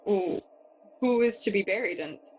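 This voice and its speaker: An actress playing young Hamlet, a witty, brooding and curious noble, in a theatrical bilingual Spanish-English Shakespeare audio play. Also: female voice, mid-range voice, moderate pace, a little mellow, faint music behind, lightly acted, low-quality recording. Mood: playful sparring. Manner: musing, questioning, theatrical.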